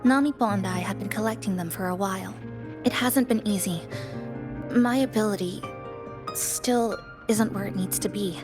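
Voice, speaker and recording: A woman's voice: mid-range voice, normal speed, lightly acted. A woman playing a reserved, serious young female fighter in a video game, speaking in a restrained, matter-of-fact way. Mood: measured. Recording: music behind the dialogue.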